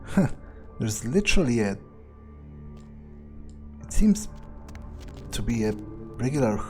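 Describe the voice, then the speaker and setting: Slow-talking, dark-voiced man musing aloud. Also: mid-range voice, very animated, faint music behind. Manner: halting, musing, matter-of-fact, casually profane. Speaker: video game player roleplaying his character in a playthrough. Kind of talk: in-character musing aloud, thinking through events.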